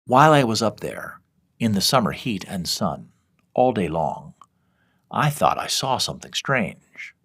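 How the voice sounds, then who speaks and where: A man's voice: lowish voice, sing-song, unhurried, fairly bright tone, husky. A man reading his own scripted horror story as a first-person narrator. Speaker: author reading own horror fiction podcast.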